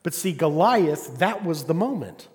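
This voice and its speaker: Brief: male preacher, slightly high voice, fervent call-and-response delivery, long reverb of a hall. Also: lively intonation, unhurried. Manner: call-and-response, asks congregation "Amen?"; rises to loud, fervent passages, drops to quiet asides. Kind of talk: sermon.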